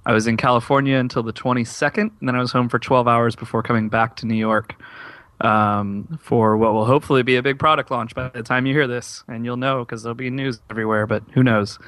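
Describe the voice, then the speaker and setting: A man's voice: medium pitch, steady tone, a little fast, fairly bright tone, no echo. A man co-hosting a gaming podcast in casual chat, tired from travel and rambling with fillers and restarts. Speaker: podcast co-host.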